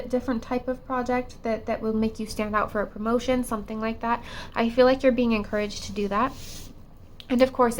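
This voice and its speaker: A woman's voice: on the high side, slight background noise. A woman giving a pick-a-card tarot reading, her voice still affected by a cold, musing hesitantly with many fillers and restarts. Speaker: tarot reader.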